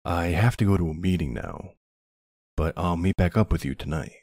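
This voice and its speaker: A man playing a tender but commanding mafia boss husband in an ASMR roleplay, with a low, gravelly, husky voice. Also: moderate pace, darkish tone. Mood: gentle, polite.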